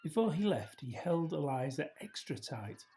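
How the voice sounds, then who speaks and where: A man reading a children's story aloud in a gentle, expressive way, with hushed dramatic drops. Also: a little high, normal speed. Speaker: storybook reader. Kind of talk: scripted children's story narration, read aloud.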